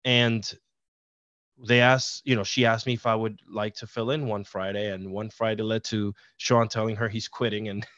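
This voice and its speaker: A middle-aged man from Puerto Rico, a podcast guest telling stories in a relaxed, rambling way over a video call. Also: on the low side, normal speed, dry recording. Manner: easygoing, rambling storyteller. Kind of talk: casual interview chat. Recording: dull, dry video-call audio.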